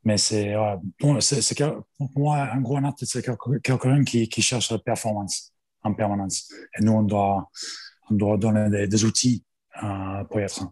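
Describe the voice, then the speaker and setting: Australian guy in his 40s, husky lowish voice, lots of euh and restarts. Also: steady tone, a little slow, a little mellow, low-quality recording. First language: English. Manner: hesitant, many restarts and fillers. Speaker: strength and conditioning coach. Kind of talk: interview guest.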